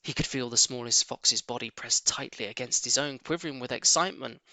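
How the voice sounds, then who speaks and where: Bright, forceful male storybook narrator. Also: mid-range voice, fairly quick, dry recording. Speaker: audiobook reader. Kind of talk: animated children's storybook narration.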